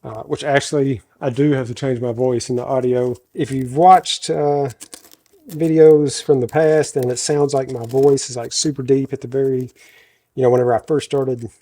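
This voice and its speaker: A man with a country American accent and a slight head cold, talking himself through a workshop project in a loose, thinking-aloud way. His natural voice is very deep, and he often corrects himself mid-sentence. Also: fairly even intonation, moderate pace, dark tone. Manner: talks to himself while working, self-correcting. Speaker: host showing a woodworking project.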